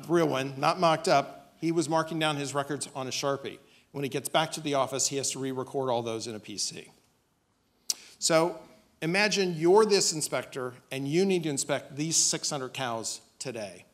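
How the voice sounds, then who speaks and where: A man giving a business pitch presentation as a startup presenter, speaking on stage into a podium microphone. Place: on stage in a dim auditorium.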